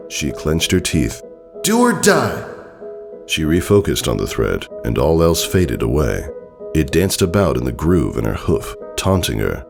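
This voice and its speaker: An extremely deep-voiced man reads a story in a dramatic scripted style. His delivery swings expressively in pitch and has a creaky, gravelly edge. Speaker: fan fiction narrator.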